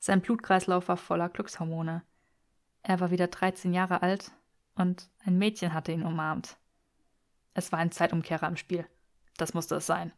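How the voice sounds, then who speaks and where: A woman reads a story as an audiobook narrator, in an expressive scripted delivery. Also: lowish voice, normal speed.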